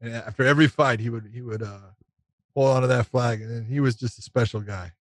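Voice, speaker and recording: A man's voice: medium pitch, fairly even intonation, brisk, no room sound. A man co-hosting a casual sports podcast, a former referee who stresses his points by repeating them.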